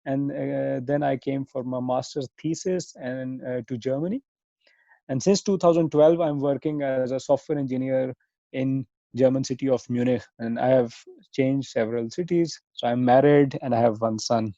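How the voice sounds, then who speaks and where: A man in his thirties with a Pakistani accent, a podcast guest answering in a halting, self-correcting way over a remote call. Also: medium pitch, steady tone, warm tone, no room sound. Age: 30s. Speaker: podcast guest, software engineer. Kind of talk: conversational interview answers. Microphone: remote internet or phone call. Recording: dull lo-fi call audio.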